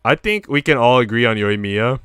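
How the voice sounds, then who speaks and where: A man's voice: mid-range voice, fairly quick, a bit bright, clean tone, no echo, studio quality. A man livestreaming in casual chat, slangy and bantering with mock outrage.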